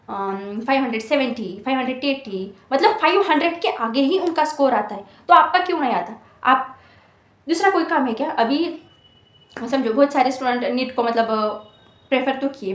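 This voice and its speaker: High-voiced young woman in an echoey room. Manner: earnest, scolding, full of rhetorical questions. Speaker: motivational speaker for exam students. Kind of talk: motivational talk to students. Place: hostel room.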